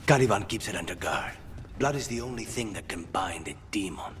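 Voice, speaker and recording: An actor playing a grim, guarded, demon-possessed assassin in a fantasy game, speaking in terse, clipped phrases. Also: male voice, low voice, expressive, a little fast. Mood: grim, intent. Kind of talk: cinematic fantasy game voice acting. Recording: loud music behind the voice. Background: loud game music.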